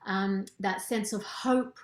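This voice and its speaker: A middle-aged woman from Zimbabwe, a transformation coach, telling her personal story in an interview with lively storytelling. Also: medium pitch, slow pace. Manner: animated storytelling, acts out quoted thoughts. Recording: roomy echo.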